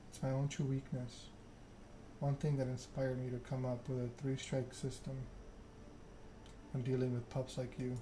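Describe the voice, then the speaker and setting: Man playing suave male vampire enforcer for a story game: calm threat, bored monotone, noisy ambient backdrop. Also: mid-range voice, normal speed, lightly acted. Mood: calm menace. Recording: clear background ambience noise.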